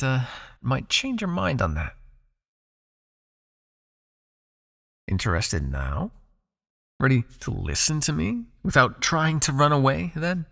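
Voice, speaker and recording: Creaky-voiced, possessive male vampire hypnotist, acted by a man. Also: mid-range voice. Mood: teasing, possessive. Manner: soft, coaxing, teasing, commanding. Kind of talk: intimate ASMR hypnosis roleplay.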